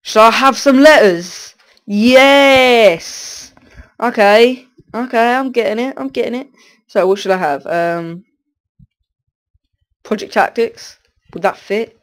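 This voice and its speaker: A man's voice: extremely high pitch, slow pace, long pauses, fairly bright tone. Sniffly young guy rambling over gameplay. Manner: rambling, self-interrupting, distracted. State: stuffy with a cold. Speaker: gaming commentator. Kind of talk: casual gameplay commentary.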